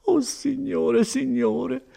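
A man's voice: high voice, normal speed, dark tone, pitched above the performer's own voice. A man voicing a distressed, middle-aged peasant woman in an audiobook, with wailing, pleading exclamations. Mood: anguished, pleading. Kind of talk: dramatised audiobook reading.

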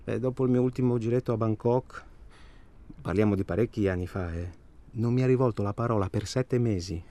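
An actor playing a nervous, chatty middle-aged husband in a naturalistic radio drama, hesitant and rambling, repeating himself and seeking agreement. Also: male voice, fairly low pitch, fairly quick, mellow, close to an everyday voice. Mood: confiding, wry.

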